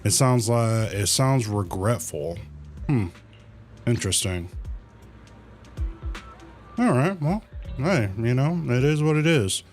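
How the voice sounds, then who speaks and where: A man's voice: medium pitch, expressive, takes it slowly, pauses often, faint music behind. A man casually commentating on a game livestream, reading dialogue aloud in a laid-back, colloquial way and muttering to himself. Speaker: game streamer.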